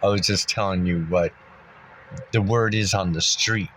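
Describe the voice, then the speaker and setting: A man's voice: low voice, fairly even intonation, normal speed, a bit bright, a little hiss or hum, poor audio. A man vlogging in a meandering, casual monologue, congested and coughing now and then, thinking aloud with many fillers. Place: sitting in a dusty spot, maybe in a car.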